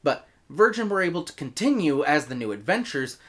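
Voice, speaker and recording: Man, American accent, review host, scripted narration, very animated intonation, expressive delivery. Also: a little high, a little fast. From United States.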